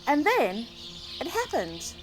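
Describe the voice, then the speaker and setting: Slow, high-voiced woman reading a children's story. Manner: expressive, addressing young listeners. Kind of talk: read-aloud children's storybook narration. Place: outdoors in a garden. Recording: outdoor recording with clear background noise, birdsong. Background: birdsong.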